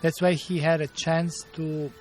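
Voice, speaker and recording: A man in his fifties from Bulgaria, speaking English with a Bulgarian accent as a martial arts teacher being interviewed, pausing often to search for words. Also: on the high side, level tone, darkish tone, a little hiss or hum. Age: 50s. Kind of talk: interview storytelling.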